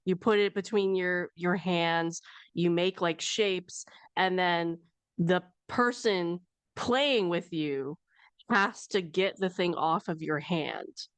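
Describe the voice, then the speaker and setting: Low-voiced woman podcast guest. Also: slow, smooth, dry recording. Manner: explains patiently, many fillers. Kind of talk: casual podcast chat.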